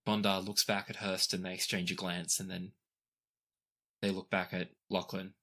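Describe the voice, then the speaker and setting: A man acting as game master, improvising narration for a tabletop game in a measured way with pauses mid-sentence. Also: low-pitched, little pitch movement, bright, forceful tone.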